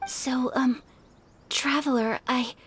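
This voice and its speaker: An actress playing a refined, gentle young noblewoman in an anime video game, polite and earnest, with a soft, measured delivery. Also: high-pitched, fairly even intonation, slight background noise. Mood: shy, resolved. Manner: polite, measured, slightly hesitant. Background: soft music.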